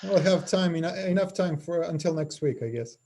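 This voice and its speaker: Male webinar host, Serbian accent, formal and scripted, mellow tone. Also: a little high, lively intonation, brisk, husky. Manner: formal, scripted introduction, with some hesitations. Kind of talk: webinar introduction.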